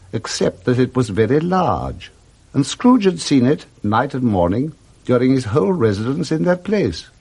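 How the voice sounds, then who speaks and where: A man with an English accent narrating a classic story for 1960s British radio in a measured, theatrical manner. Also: low-pitched, a little mellow, husky.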